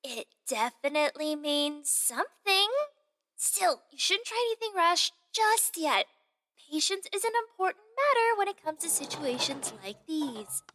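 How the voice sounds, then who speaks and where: An actress plays a young palace woman who loves to gossip, in a fantasy audio drama. Her voice is high and expressive. She is chatty and excitable, with a theatrical delivery. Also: measured pace, full character voice. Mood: intrigued, encouraging.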